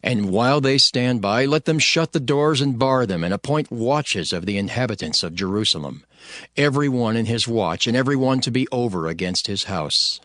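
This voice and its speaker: A man playing a steadfast, devout leader who narrates his own story in a solemn, measured, declamatory scripture style. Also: medium pitch, expressive, fairly bright tone, no echo, clean studio sound, natural voice. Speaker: narrator and lead character. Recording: music behind the narration.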